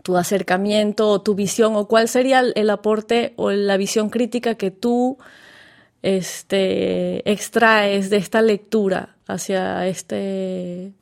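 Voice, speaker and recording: A woman's voice: medium pitch, fairly even intonation, takes it slowly, vocal fry. A woman hosting an interview, asking long, winding questions with many fillers. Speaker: interview host.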